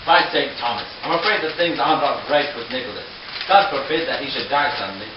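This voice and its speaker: A man's voice: medium pitch, quick pace, natural voice. A man voicing a worried, gullible old carpenter in a puppet show, fretful and pious. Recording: very echoey, distant, dull, noisy.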